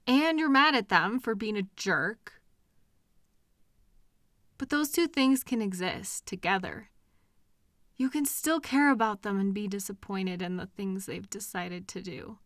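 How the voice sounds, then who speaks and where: A woman playing a caring, protective partner in an intimate comfort audio roleplay, speaking gently and earnestly in a reassuring, conversational way with hesitant pauses. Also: medium pitch, dry recording, clean studio sound, natural voice. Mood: soothing. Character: caring, protective partner consoling a hurting loved one.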